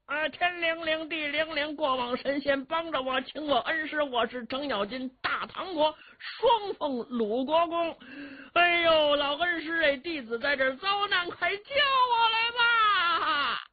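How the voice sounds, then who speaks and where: A woman storyteller voicing a cornered, blustering male warrior who wheedles and wails in drawn-out pleas. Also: sits high, animated, narrow, tinny audio, pitched above the performer's own voice. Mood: desperate wailing. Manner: wailing drawn-out chants, wheedling.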